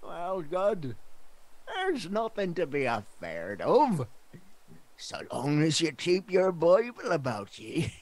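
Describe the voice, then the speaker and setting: An actor playing a folksy, pious old carriage driver who talks in rustic dialect with a teasing, foreboding manner in an audio drama. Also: male voice, a little high, wildly swooping pitch, takes it slowly, darkish tone, dry recording, exaggerated voice. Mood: kindly, pious.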